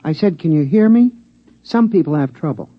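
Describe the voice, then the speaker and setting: A blunt, high-voiced talking lab mouse. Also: male voice, expressive, normal speed, dry recording. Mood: blunt, insistent. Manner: plain-spoken, dry, insistent. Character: talking lab mouse, henpecked husband, blunt and matter-of-fact. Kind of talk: 1950s radio drama acting. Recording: dull vintage radio broadcast recording.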